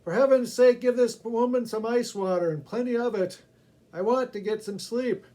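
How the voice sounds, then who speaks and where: Man doing an exasperated gentleman, loud and high. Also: normal speed. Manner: loud, demanding, emphatic. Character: sleepless, exasperated gentleman passenger calling out.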